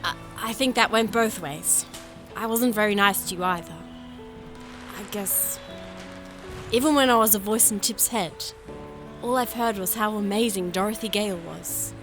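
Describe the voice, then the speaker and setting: A woman playing a gentle, earnest young fairytale princess in an audio drama, sincere and measured. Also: medium pitch, music in the background, lightly acted. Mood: sincere, soft. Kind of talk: audio drama acting and narration.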